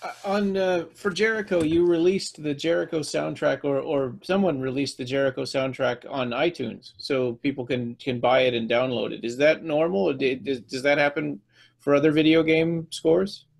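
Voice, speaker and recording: A man's voice: fairly high pitch, normal speed, gravelly. A man in his forties chatting casually as a podcast interviewer, on a headset over a video call from home.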